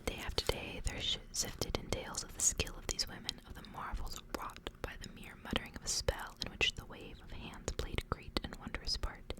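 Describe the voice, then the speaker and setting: A woman speaks entirely in a hushed, unvoiced whisper. Her delivery is that of an ASMR creator reading for listeners, kept very quiet throughout. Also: poor audio.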